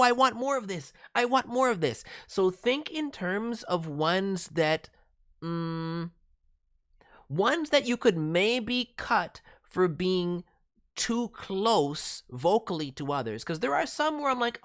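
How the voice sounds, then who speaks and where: Animated low-voiced woman coaching on stream. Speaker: streaming host and voice director reviewing demos. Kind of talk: casual livestream critique.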